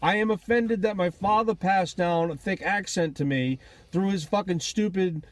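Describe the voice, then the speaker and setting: A man co-hosting a casual talk show from a car, loud and sarcastic, swearing freely. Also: high voice, normal speed. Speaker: podcast co-host. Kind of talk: casual banter.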